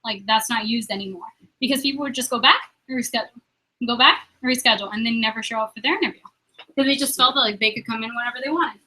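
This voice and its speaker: A young woman leading a sales training meeting, upbeat and quizzing the room with quick questions. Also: fairly high pitch, sharp tone, rough recording.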